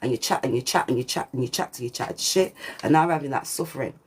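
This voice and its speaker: A woman with a deep voice giving a channelled spiritual reading, preachy and repetitive, with swearing and slang. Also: lively intonation, quick pace, husky. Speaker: spiritual reader. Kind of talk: channelled collective reading monologue.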